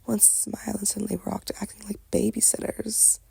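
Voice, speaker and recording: Playful, theatrical male idol voiced by a woman, husky, crackly, for ASMR roleplay. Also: medium pitch, little pitch movement, a little fast, mellow, no room sound, heavily acted. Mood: amused. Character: dramatic, playful young male pop idol.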